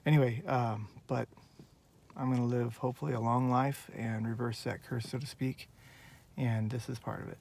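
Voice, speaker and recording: Gravelly earnest man in his forties. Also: mid-range voice, fairly even intonation, moderate pace, a little mellow, a little hiss or hum. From Northern California. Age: 40s. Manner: earnest, rambling, heartfelt. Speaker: vlogger. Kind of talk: casual personal vlog.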